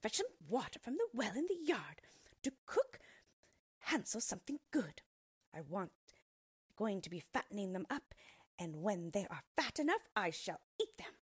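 A woman voicing a cruel, greedy old witch with a shrill, bossy manner. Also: big pitch swings, normal speed, breathy edge, no echo, studio quality. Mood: menacing. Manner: impatient, bossy.

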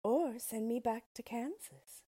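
A polite, earnest young girl from a storybook, speaking a little higher than the reader, as a woman reading aloud voices her. The tone is mellow and breathy, and the pace is slow.

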